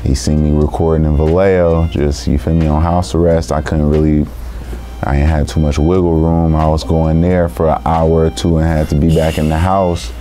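Very deep-voiced laid-back rapper. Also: male voice, little pitch movement, normal speed, dark tone, soft background music. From San Francisco. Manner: slangy, laid-back street talk, constant "you feel me". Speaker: rapper guest. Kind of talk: casual interview talk. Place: small recording studio room.

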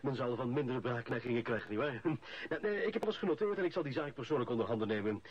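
A fussy, self-important male official played by a man in a radio play, with a Flemish accent. His officious delivery swings widely in pitch and breaks into small hesitations. The old recording is dull and faintly noisy. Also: lively intonation, quick pace, lightly acted. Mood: officious, smooth. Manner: officious, hesitant with little hums. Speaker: actor. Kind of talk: Dutch-language radio play acting.

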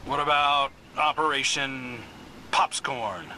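A man's voice: mid-range voice, fairly even intonation, slow, a little hiss or hum, poor audio. An actor playing an earnest, dryly teasing young male scout in a video game. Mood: focused. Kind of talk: scripted game dialogue. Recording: loud music and game sounds behind.

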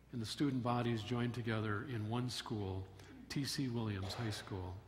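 Older male pastor, mid-pitched, measured sermon storytelling, pulpit mic in an echoing sanctuary. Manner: measured storytelling delivery with emphatic rises. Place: church sanctuary at a wooden pulpit. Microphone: pulpit microphone. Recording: long church reverb, faint room noise.

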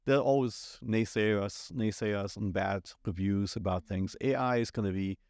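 German-accented man, low, dry studio voice. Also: moderate pace, dry recording. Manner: relaxed, rambling with many fillers. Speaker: IT company owner, podcast co-host. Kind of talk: casual podcast chat. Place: small studio set. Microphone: studio mic on boom at the mouth.

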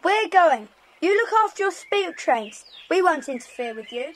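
Woman playing cheeky, very high-voiced English child. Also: big pitch swings, moderate pace, slight background noise. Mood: dry, teasing. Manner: matter-of-fact, teasing. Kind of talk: children's audio drama acting.

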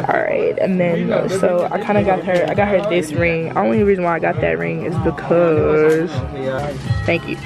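A young woman in her twenties vlogging casually, talking straight to her viewers in loose slang, recorded close on a handheld phone. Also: lowish voice. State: raspy morning voice. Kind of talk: casual vlog chat. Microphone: handheld phone camera close up.

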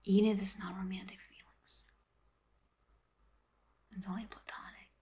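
A woman voicing a teasing, lovelorn teenage superhero boy in a fan audio drama, whispering haltingly. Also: medium pitch, level tone, mellow, slightly rough. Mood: wistful.

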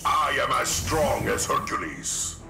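An actor voicing a hulking, menacing, arrogant masked villain in a video game, making grandiose threats. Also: male voice, mid-range voice, sing-song, creaky, exaggerated voice. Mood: proud. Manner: slow, menacing, grandiose declarations. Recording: loud music behind, echoing sound.

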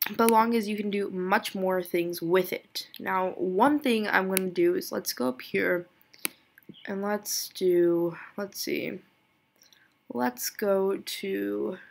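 A young woman giving a casual screen-recorded software tutorial, chatty and giggly, thinking aloud with a voice lower than usual today. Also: vocal fry, a little hiss or hum. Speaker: tutorial creator.

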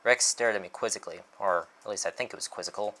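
A man's voice: on the low side, fast talker, sharp tone. A man voicing a weary, irritable detective narrating a noir story in the first person. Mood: world-weary, wry.

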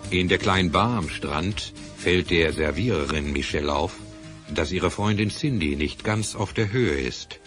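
A man's voice: low-pitched, a little slow, a bit bright. A man as the sober narrator of a crime adventure audio drama, reading scripted scene descriptions. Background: music.

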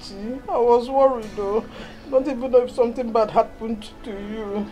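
A woman's voice: a little high, fairly even intonation, normal speed, warm tone, faint music behind, close to an everyday voice. An actress playing a doting, pious older village mother in a film drama, emotional and repetitive, with a Nigerian Igbo accent. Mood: tearful relief. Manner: emphatic, repetitive.

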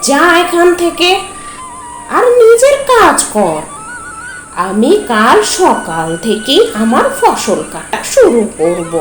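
A gruff, rude farmer from a cartoon who brushes others off, as a woman acts him. He talks slowly in an echoey space over music. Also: sits high, huge pitch swings, full character voice. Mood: dismissive. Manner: rude, dismissive.

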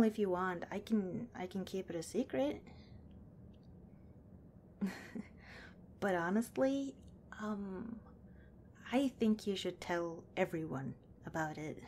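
A woman playing an affectionate, shy cop in a soft-spoken, intimate ASMR roleplay, gentle and hesitant with her partner. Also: medium pitch, a little hiss or hum. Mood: playful, relaxed.

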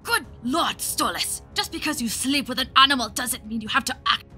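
A woman's voice: sits high, animated, normal speed, sharp tone, faint music behind, full character voice. A woman playing a haughty, vicious aristocratic owl demoness in a comic dub, imperious and scornful. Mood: indignant. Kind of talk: cartoon comic dub voice acting.

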